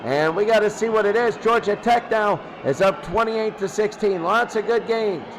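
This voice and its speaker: Male sportscaster, high voice, live football play-by-play, clipped calls, noisy recording. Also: normal speed. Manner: clipped, present-tense calls. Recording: loud noise behind the voice.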